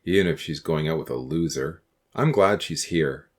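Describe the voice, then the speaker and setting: A woman's voice: very deep voice, moderate pace, a little mellow, pitched below the performer's own voice. A woman voicing a groggy, injured teenage boy in an audiobook, mumbling thickly and groaning. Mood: grateful, groggy.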